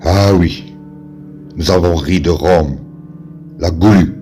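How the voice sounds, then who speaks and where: A man with a very deep voice recites verse solemnly over music. His delivery is slow and declamatory, leaving weighty pauses between short phrases. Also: dark tone. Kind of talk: solemn dramatic poetry recitation.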